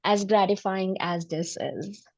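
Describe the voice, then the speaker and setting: A woman's voice: medium pitch, fairly even intonation, a little slow, a little mellow. A middle-aged woman from Delhi, a women empowerment coach, speaking earnestly and reflectively as an interview guest, laughing often. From Delhi, India. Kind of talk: interview conversation.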